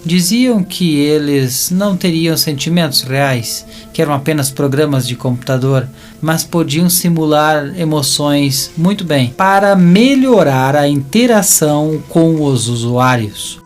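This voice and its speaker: A man's voice: fairly high pitch, lively intonation, measured pace, hardly any pauses. A man with a Brazilian accent narrating a scripted story dramatically, as in an audio drama. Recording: music bed behind narration.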